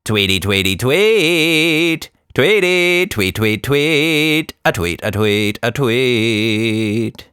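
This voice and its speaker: A man's voice: mid-range voice, animated, very slow, bright, forceful tone, smooth, no room sound, clean studio sound, full character voice. A man voicing a cheerful, earnest monkey with proud musical leanings in a children's story. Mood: singing brightly. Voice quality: slightly out-of-tune singing.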